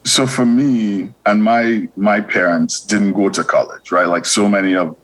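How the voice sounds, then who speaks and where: A man in his forties from Trinidad and Tobago, a university administrator answering interview questions in a measured way with many fillers and restarts. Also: fairly low pitch, steady tone.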